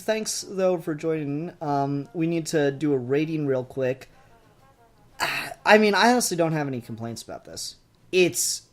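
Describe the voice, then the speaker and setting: A man hosting a podcast in a casual, animated review chat. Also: a little high. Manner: giggly, animated quote re-enacting. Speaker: podcast host.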